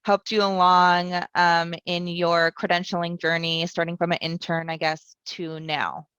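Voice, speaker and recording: A woman moderating an online career fair panel, hesitating often with um as she introduces the speakers. Her voice is smooth and a little low. The sound is dry and close, with no room echo. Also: flat delivery, measured pace, a bit bright. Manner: hesitant, frequent "um"s.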